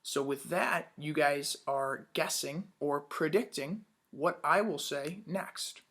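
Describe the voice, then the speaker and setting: A man's voice: on the high side, slow, fairly bright tone. A man teaching young children in a read-aloud lesson, upbeat, asking questions and praising answers.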